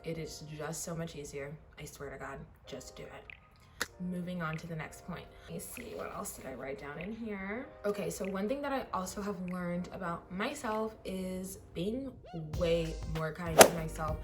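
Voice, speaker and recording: A woman in her twenties chatting to camera for her vlog, a little hoarse, with lots of "like" and "you know". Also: lowish voice, normal speed, distant mic, over music. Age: 20s. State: slightly hoarse. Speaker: vlogger. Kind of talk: casual chat to camera.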